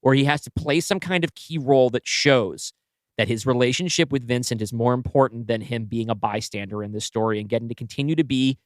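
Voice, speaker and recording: A man's voice: medium pitch, brisk, no echo. Casual man podcasting, dry close sound. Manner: informal, frequent "like" and tag "right?". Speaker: podcast co-host. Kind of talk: casual brainstorming chat about a story.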